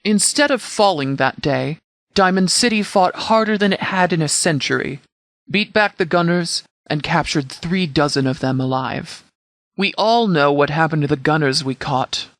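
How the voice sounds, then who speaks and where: Game sheriff played by a male actor: disciplined, protective leader, slightly high male voice, measured military briefings, very dry recording. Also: a bit bright, no room sound. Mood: grave, resolute. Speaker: sheriff and commander. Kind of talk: scripted video game dialogue.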